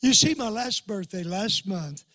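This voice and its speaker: An eighty-five-year-old man with an old, hoarse voice, preaching to a congregation as a guest pastor in a folksy way and calling for amens. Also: high-pitched, expressive, a little slow, bright, forceful tone, dry recording. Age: 80s. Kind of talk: sermon with personal stories. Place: church sanctuary before a congregation.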